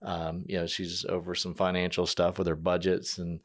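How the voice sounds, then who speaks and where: A man hosting a conversational podcast interview, working through his questions in a halting, meandering way. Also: low voice, completely flat delivery. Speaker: podcast host, company manager.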